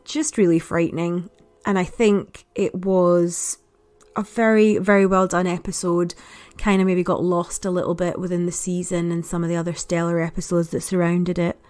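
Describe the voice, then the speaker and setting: Low-voiced woman, chatty and reflective. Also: little pitch movement, normal speed, darkish tone, clean tone. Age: adult parent. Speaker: podcast listener contributor. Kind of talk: casual spoken review.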